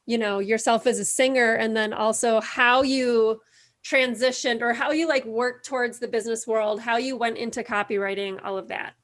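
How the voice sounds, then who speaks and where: A woman's voice: on the high side, fairly even intonation, moderate pace, a bit bright. A woman hosting a casual interview, encouraging her guest and laughing along. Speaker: podcast host.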